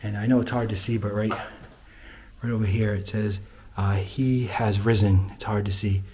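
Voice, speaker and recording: A man's voice: on the low side, fairly even intonation, moderate pace, mellow. A man hosting a casual exploring vlog, talking to viewers as he films on a handheld phone inside an abandoned building. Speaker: host of an urban exploring video. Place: inside an abandoned mausoleum at night. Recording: noisy handheld phone recording.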